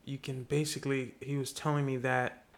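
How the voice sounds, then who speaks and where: A man's voice: medium pitch, little pitch movement, moderate pace. A young man from Haiti, a podcast guest, telling a story earnestly in casual conversation and swearing freely.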